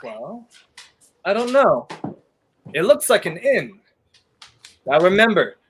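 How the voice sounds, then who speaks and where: A man's voice: sits high, very animated, takes it slowly, fairly bright tone, a little hiss or hum, exaggerated voice. A man playing a brash, sardonic, commanding fugitive in a 1930s radio play. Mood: brisk, conspiratorial. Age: young adult. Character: brash wrongly accused man on the run, sardonic, urgent.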